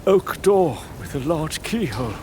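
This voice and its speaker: An actor playing a proud, tormented, weary lord in a gothic audio drama, speaking in halting, archaic, theatrical phrases. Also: male voice, on the high side, animated, background noise. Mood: brooding, morbid wonder.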